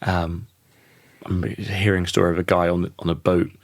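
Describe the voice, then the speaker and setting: Casting: croaky man in his thirties, low voice, thoughtful and halting, dry close-miked sound. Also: flat delivery, no echo. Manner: thoughtful, restarts sentences often. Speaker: podcast guest. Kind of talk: reflective conversational interview.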